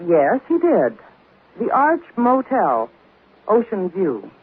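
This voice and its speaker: A woman's voice: medium pitch, huge pitch swings, slow, noisy background. An actress playing a scheming, cold-blooded woman in 1940s radio drama, on a muffled old recording. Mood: feigned reluctance.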